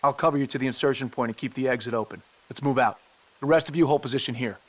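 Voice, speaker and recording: A man's voice: medium pitch, fast talker, distant mic, close to an everyday voice. A man playing a stern, decisive squad commander in a video game, giving terse, authoritative orders. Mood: businesslike command. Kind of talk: video game cutscene acting.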